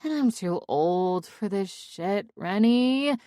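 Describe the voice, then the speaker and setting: A woman's voice: medium pitch, expressive, slow pace, dark tone, dry recording, studio quality. A woman voicing a drunk, giggly young former cheerleader rambling dramatically and swearing on the phone in an audiobook. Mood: drunk self-pitying.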